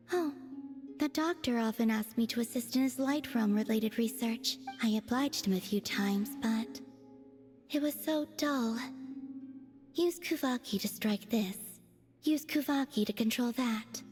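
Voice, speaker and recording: Actress as a high-voiced, wistful young woman. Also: a little mellow, lightly acted. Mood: reflective. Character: soft-spoken young woman, wistful, detached, quietly innocent. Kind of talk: video game voice acting.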